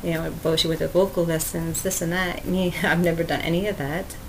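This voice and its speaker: A woman's voice: on the low side, fairly even intonation, brisk, a little hiss or hum, rough recording. A woman hosting her own podcast, talking casually and rambling with lots of fillers and small laughs, in a sweet, cute voice. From Canada. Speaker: podcast host. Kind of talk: casual solo podcast talk.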